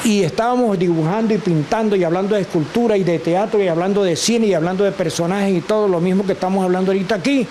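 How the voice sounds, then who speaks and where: An older man with a Venezuelan accent, a guest telling animated stories and acting out the voices of people he quotes. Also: high voice, quick pace, hardly any pauses, slight background noise. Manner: expressive, acts out quoted voices, laughs often. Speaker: guest, actor and teacher. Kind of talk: animated storytelling.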